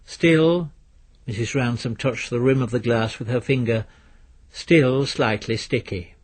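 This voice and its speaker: Husky male storyteller, measured and dry. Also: mid-range voice. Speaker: narrator, reader. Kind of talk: scripted literary narration of a story.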